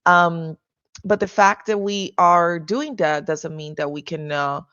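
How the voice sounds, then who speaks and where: A woman's voice: lowish voice, measured pace, fairly bright tone, clean tone, dry recording, muffled recording. A woman from Venezuela, a realtor and author, answering interview questions casually over a video call with lots of fillers and restarts. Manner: hesitant, many fillers and restarts, laughs easily.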